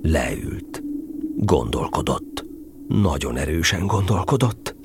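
A man narrating a children's story as a scripted, expressive storyteller. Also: extremely low pitch, background noise.

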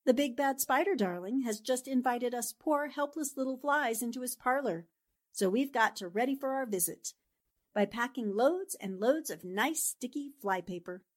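A woman's voice: on the high side, moderate pace, close to an everyday voice. An actress playing a sly, bossy, sophisticated newspaperwoman with an arch, coaxing manner in a 1940s-style radio drama. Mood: sly, satisfied.

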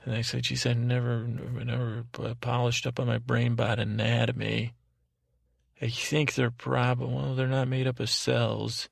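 Male bedtime podcast host, heavily creaky, narrow droning delivery, self-deprecating rambler who trails off and circles back. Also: mid-range voice, level tone, no echo, clean studio sound. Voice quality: gravelly, creaky. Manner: meandering, halting, deliberately dull drone.

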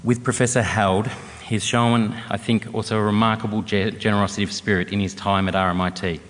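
Deep, monotone male host reading a formal introduction in a reverberant hall. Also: fairly quick, a little hiss or hum. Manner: steady, read-out delivery. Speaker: event host introducing a speaker.

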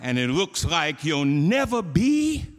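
A man's voice: a little high, sing-song, slow pace, long reverb tail. A man preaching a sermon to his church, building emphasis through repeated phrases. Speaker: pastor.

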